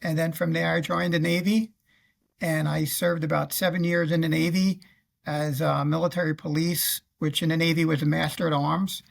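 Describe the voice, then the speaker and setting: Gravelly New York man in his fifties. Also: a little high, level tone, normal speed. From Queens, New York. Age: 50s. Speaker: guest, retired federal law officer and navy veteran. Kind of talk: recounting career in an interview. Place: indoor room on a video call.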